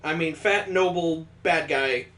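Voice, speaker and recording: A man's voice: fairly high pitch, unhurried, fairly bright tone, roomy sound. A man reading a visual novel aloud, mixing dramatic narration with sarcastic comic asides. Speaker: let's play host.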